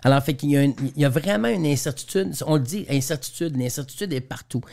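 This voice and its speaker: A man's voice: a little high, animated, fast talker, creaky, darkish tone. A man from Quebec with a Quebec French accent, a guest trainer and speaker explaining business examples in a radio interview, often repeating words as he goes.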